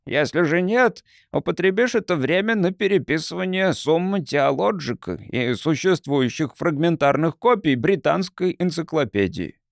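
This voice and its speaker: A man voicing an elderly monk mentor in an audiobook, croaky, measured and reluctant. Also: fairly high pitch, lively intonation, no room sound, clean studio sound, voice pushed up. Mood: instructing.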